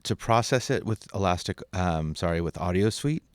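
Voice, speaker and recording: Low-voiced man giving a casual tutorial. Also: lively intonation, moderate pace, no room sound, clean studio sound. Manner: informal, thinking aloud. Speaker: audio software instructor. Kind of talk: casual screen-recorded tutorial narration.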